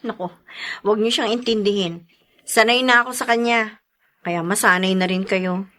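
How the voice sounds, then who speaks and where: A woman playing a feisty, dutiful breadwinner wife in a radio drama, with an emphatic, expressive delivery. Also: mid-range voice, moderate pace, lightly acted. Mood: weary, exasperated.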